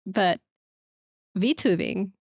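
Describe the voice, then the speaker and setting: Soft-spoken woman chatting on a podcast. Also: medium pitch, expressive, very slow, no echo, studio quality. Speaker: podcast co-host. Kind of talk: casual podcast chat. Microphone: close podcast microphone.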